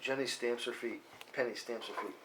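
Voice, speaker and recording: A man's voice: medium pitch, steady tone, normal speed. A man reading out scene headings and action lines as scripted narration at a table read. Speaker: stage-direction reader. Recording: noisy live room recording with clicks.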